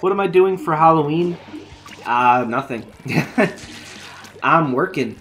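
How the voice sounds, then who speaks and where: A man live-streaming a video game, chatting casually with viewers while he plays, his voice going hoarse from a long session. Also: on the high side, lively intonation, slow pace, a bit bright, faint music behind. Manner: chatty, thinking aloud while playing. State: losing his voice.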